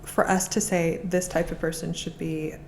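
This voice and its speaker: Low gravelly woman, eager podcast guest. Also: flat delivery, moderate pace, a little hiss or hum. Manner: eager, restarts phrases often. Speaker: guest, art auction specialist. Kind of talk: casual conversation.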